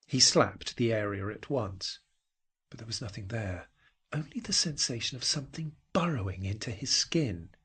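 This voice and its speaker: A man narrating a scripted audio drama with intense, dramatic, deliberate phrasing. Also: fairly low pitch, lively intonation, a bit bright, breathy edge.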